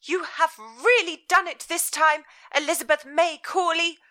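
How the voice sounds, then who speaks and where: A woman voicing a furious middle-aged Victorian mother, scolding in a shrill, near-shouting voice. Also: steady tone, unhurried, full character voice.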